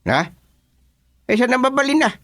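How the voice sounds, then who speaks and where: A performer playing a village chairman who placates quarrelling neighbours with hemming, drawn-out replies in a comedic radio drama. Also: sits high, big pitch swings, fairly bright tone, no room sound, clean studio sound. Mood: placating.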